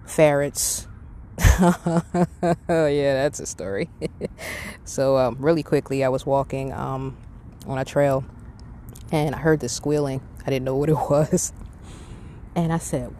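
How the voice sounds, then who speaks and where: A woman's voice: low-pitched, warm tone, slight background noise. A middle-aged woman hosting a podcast alone, talking off the cuff in a candid, rambling way and giving emphatic advice. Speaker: podcast host. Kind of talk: casual unscripted solo podcast monologue.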